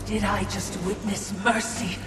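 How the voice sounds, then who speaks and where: A woman's voice: medium pitch, little pitch movement, a little slow, natural voice. A woman playing a servile, scheming female watcher spirit in a video game, fawning yet indignant. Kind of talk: video game cutscene acting. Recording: echoing hall with music behind.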